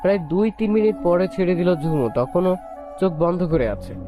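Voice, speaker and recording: High-voiced male story narrator. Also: animated, normal speed, over music. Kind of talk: scripted narration of romantic story.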